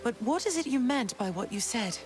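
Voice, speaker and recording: A woman playing a gentle, dignified long-lost mother goddess in a video game, speaking formally and with feeling. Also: a little high, animated, fairly quick, over loud music, lightly acted. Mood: concerned. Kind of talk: dramatic video game acting.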